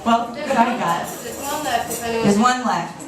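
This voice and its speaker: A woman's voice: fairly high pitch, lively intonation, slow pace, over music. A woman hosting a reading event in a restaurant cafe, making casual announcements with hesitant fillers. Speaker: event host. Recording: echoey room from far off with crowd chatter.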